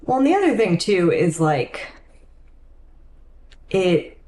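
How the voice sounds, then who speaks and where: Female co-host, slightly low pitch, conversational and thinking aloud, faint noise behind. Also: sing-song, slow, mellow. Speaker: podcast co-host. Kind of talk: casual book discussion.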